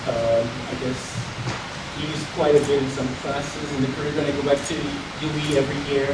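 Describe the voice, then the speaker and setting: A man introducing himself informally as a professor on a panel. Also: mid-range voice. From Trinidad. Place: indoor public panel event. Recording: echoey room, distant mic, very noisy.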